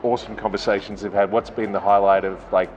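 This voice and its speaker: A man's voice: lowish voice, little pitch movement, quick pace, a little mellow, muffled recording, soft background music. A man from Australia or New Zealand interviewing a guest casually, encouraging and jokey, with lots of "yeah".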